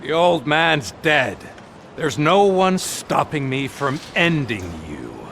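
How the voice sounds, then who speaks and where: An actor voicing a desperate, theatrical male crime boss in a video game cutscene, swinging from sly to shouting. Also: a little high, very animated, slow pace, a little hiss or hum, exaggerated voice. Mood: menacing, smug. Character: desperate, ranting male crime boss taunting a cyborg cop.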